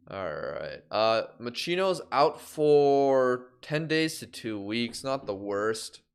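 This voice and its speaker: A young man streams a management game live, his pitch leaping around as he reacts out loud to every result. His voice sits at a middling male pitch, with a casual, running commentary. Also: extremely slow, creaky, clean tone. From Canada. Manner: animated, reacting aloud to results.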